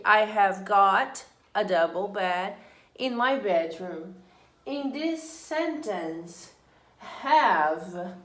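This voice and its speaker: A woman explains English grammar to her students, going slowly and patiently. She says each example sentence twice in a deliberate, measured voice. Her voice carries a noticeable echo from the room. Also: medium pitch, fairly bright tone. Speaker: English teacher. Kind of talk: slow, deliberate classroom explanation. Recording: echoey room.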